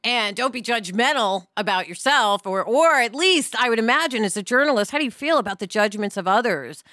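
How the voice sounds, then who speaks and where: A woman's voice: on the high side, lively intonation, brisk, pushed and bright, no room sound, studio quality. A woman hosting a podcast in a conversational way, introducing and questioning guests. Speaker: podcast host.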